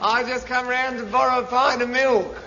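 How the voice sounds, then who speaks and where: An actor playing a cheeky, gloomy know-all neighbour with a London accent in a 1950s British radio sitcom. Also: male voice, very high voice, steady tone, normal speed. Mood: chirpy, cadging. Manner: drawling, intrusive, pessimistic. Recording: muffled old radio, loud hiss, studio audience.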